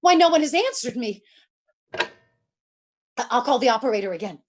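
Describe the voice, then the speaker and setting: A woman's voice: a little high, animated, normal speed, a little hiss or hum, close to an everyday voice. A woman playing a nervous, demanding bedridden lady in an old-time radio suspense play, stammering, fretful and indignant. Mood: frightened, frantic.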